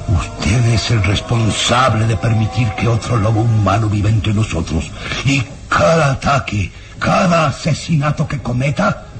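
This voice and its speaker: Sinister baron, radio drama, theatrical, drawn-out menace, very animated intonation, prominent music behind. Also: male voice, medium pitch. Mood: grave warning. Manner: theatrical, insistent, drawn-out ominous delivery.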